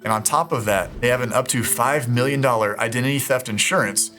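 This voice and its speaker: A man's voice: mid-range voice, fairly quick, music in the background. A man hosting an online show, explaining things to viewers in a narrating style. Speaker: host of a scam-baiting show. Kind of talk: narration to viewers with sponsor read.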